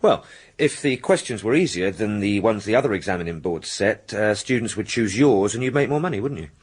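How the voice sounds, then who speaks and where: An actor playing a polite, plain-spoken British police sergeant in a radio drama, putting direct, deferential questions. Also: male voice, on the low side, expressive, a little fast, slightly rough. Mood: polite, curious.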